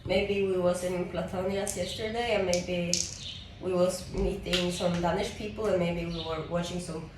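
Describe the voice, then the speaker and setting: A woman's voice: on the low side, fairly even intonation, moderate pace. A woman chatting with a friend in a teasing, hesitant way. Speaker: vlogger's friend. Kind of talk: casual chat. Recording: distant echoey room with noise.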